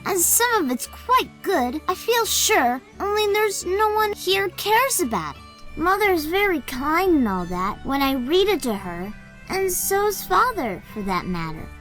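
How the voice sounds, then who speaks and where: A woman's voice: very high voice, very animated, unhurried, fairly bright tone, soft background music, full character voice. A woman voicing a weary, frank and polite young country boy in a dramatized storybook, plain-spoken and matter-of-fact. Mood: frank, friendly. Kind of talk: dramatized storybook character acting.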